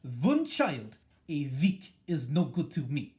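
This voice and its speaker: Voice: medium pitch, big pitch swings, darkish tone. A reader voicing a furious, tyrannical witch leader who screams and rants at her followers in an audiobook. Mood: commanding. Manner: shrieking, ranting, drawn-out words. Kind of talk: audiobook reading.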